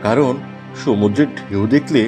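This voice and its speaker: A man's voice: medium pitch, moderate pace, music in the background, natural voice. A man voicing a village fisherman in a fairy-tale cartoon, teasingly dismissive and full of warnings. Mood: dismissive, cautioning.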